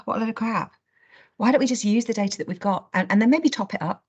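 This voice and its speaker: A woman hosting a training webinar in an informal, blunt, conversational way, with rhetorical questions and acted-out asides. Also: mid-range voice.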